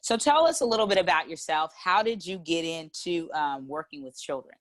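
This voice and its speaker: A woman's voice: low voice, animated, fairly quick. A woman hosting her own channel, asking questions in a casual video interview, often laughing between remarks. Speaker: host of a frugal living channel.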